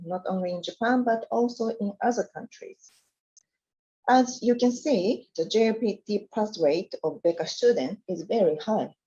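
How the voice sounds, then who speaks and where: Mellow-voiced woman presenting slides formally. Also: mid-range voice. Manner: careful, formal, reading from slides. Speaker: university presenter. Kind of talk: scripted presentation. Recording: dull lo-fi sound.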